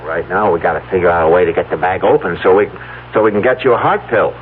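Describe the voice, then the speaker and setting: An actor playing a devoted, stubborn farmer husband in an old radio drama, with an emphatic, theatrical delivery. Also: male voice, low voice, fast, lightly acted. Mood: frustrated, determined. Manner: theatrical, emphatic, stammering when unsettled. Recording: tinny, hissy old radio recording with hum.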